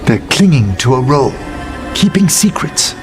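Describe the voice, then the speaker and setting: A man playing a courteous, scholarly gentleman necromancer in a video game, speaking precisely and eloquently with gentle enthusiasm. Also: medium pitch, sing-song, normal speed, natural voice. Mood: solemn. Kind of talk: video game character acting. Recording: music behind.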